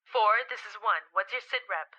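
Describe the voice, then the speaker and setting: A woman's voice: medium pitch, normal speed, bright, forceful tone. A woman playing a curt, commanding team leader in an audio drama, clipped and authoritative over a radio filter. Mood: stern, guarded. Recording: dull, band-limited radio voice.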